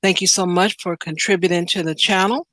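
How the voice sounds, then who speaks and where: A woman hosting a livestream, with a somewhat low voice. She speaks in an upbeat, friendly way as she thanks her viewers. The sound is dry and close to the mic. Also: steady tone, a little fast, fairly bright tone, dry recording. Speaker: livestream host. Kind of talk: casual livestream sign-off.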